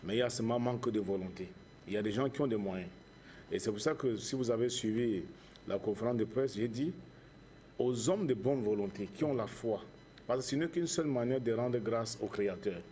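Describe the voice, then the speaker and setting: A man with a Cameroonian accent giving earnest interview answers as a charity founder, in measured, preacher-like phrases with repetition. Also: mid-range voice, dark tone, a little hiss or hum.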